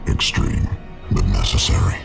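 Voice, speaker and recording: A man playing a huge, cold, arrogant alien crime lord in a video game, speaking in a formal, contemptuous growl with a processed monster sound. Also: moderate pace. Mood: cold contempt. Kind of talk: video game villain acting. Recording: loud music behind the voice.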